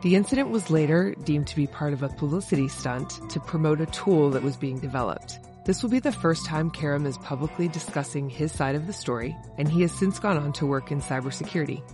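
A woman hosting a podcast, reading a scripted guest introduction and asking clear, measured questions. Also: sits low, music in the background. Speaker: podcast host.

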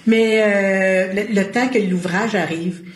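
A woman with a Québécois accent speaks as an interview guest at a middling pitch. She talks earnestly and casually, pausing to find her words. Her voice rings with a roomy echo. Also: steady tone. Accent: Québécois French.

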